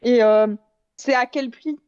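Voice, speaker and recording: A woman explaining her opinion in a casual debate, in a measured way, on a slightly dull-sounding mic. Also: a little high, a little mellow, clean tone. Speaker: debate participant, amateur audio fiction maker.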